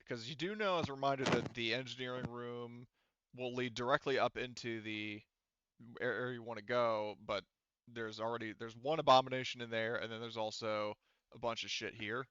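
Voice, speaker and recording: A man's voice: medium pitch, fairly even intonation, normal speed, fairly bright tone, clean tone, dry recording. A man speaking as game master, casually explaining rules and options in a rambling, conversational way with some swearing, on slightly dull voice-chat audio. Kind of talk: casual tabletop game narration.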